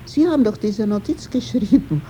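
An older woman recounting a memory spontaneously as an interviewee, halting and self-correcting as she goes. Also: mid-range voice, lively intonation. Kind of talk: spontaneous reminiscence. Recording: noisy location recording with vehicle sounds.

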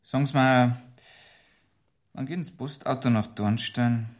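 An actor playing a beleaguered police inspector with a Bavarian accent, gruff, curt and defensive, in a radio drama. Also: male voice, mid-range voice, steady tone, measured pace, lightly acted. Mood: probing.

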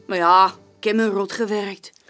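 Actress as young barmaid, female, medium pitch, chatty, colloquial, plain-spoken, naturalistic radio drama. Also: fairly even intonation, normal speed, a bit bright, faint music behind, close to an everyday voice. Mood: chatty, forthcoming. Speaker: witness, café barmaid. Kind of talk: naturalistic radio play dialogue.

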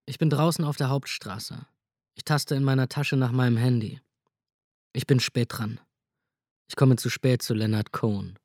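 A man's voice: mid-range voice, steady tone, normal speed, long pauses, darkish tone, no echo, studio quality. Man with a sardonic, scornful inner voice. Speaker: writer narrating in first person. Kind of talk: radio drama monologue.

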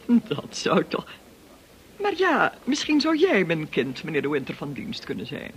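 A woman's voice: sits low, wildly swooping pitch, unhurried, full character voice. An actress playing an overbearing, gossipy, wealthy society lady in a radio play, condescending and theatrical, on a noisy old recording. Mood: gushing, imperious. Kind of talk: radio drama acting.

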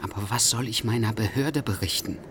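An actor playing a pedantic, easily exasperated traveller in a German radio drama, wordy and theatrically bewildered. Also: male voice, fairly low pitch, fairly quick, noisy background, natural voice. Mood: relieved.

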